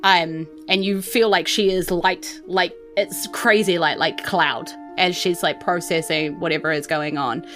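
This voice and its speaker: A woman's voice: lowish voice, a little slow, hardly any pauses, a bit bright. A woman with a New Zealand accent hosting a tabletop game podcast in an informal, rambling, self-deprecating way. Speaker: game master and podcast host. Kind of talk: casual live-streamed game narration and chatty sign-off. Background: faint music.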